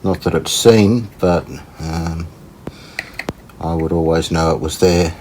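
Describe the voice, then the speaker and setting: A man with a low, soft-edged, dark voice talks through repair work in a casual way. He is unhurried and a little tentative, thinking aloud as he goes. There is faint noise behind him. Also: steady tone. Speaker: host of a radio repair channel. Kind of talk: casual hands-on repair commentary.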